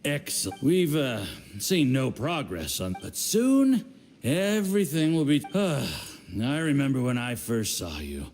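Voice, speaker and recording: A man's voice: medium pitch, huge pitch swings, unhurried, darkish tone, faint music behind. An actor playing a drunken, scheming, boastful agent in a video game, rambling and slurring his words. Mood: tipsy, flattering. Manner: slurred, rambling tipsy speech with sighs and trailing words.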